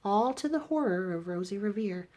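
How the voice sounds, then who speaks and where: A woman reading a rhyming children's story aloud in an expressive narrating style. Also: medium pitch, fairly quick. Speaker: storybook reader.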